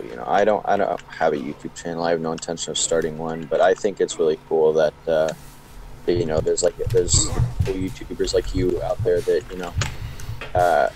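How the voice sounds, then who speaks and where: Deep-voiced young man, casual chat. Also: darkish tone, rough recording. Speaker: co-player chatting on a game stream.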